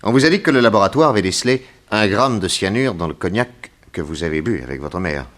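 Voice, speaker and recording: A man playing a courteous, probing private detective in a 1960s French radio mystery, with measured questioning. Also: sits low, lively intonation, fairly bright tone. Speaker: lead actor in a detective serial.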